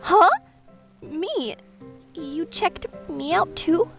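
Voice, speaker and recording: A woman playing a self-righteous, greedy and excitable female teacher in a manga dub, with a theatrical, gushing delivery. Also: very high voice, extremely slow, vocal fry, faint music behind, heavily acted. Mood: flustered.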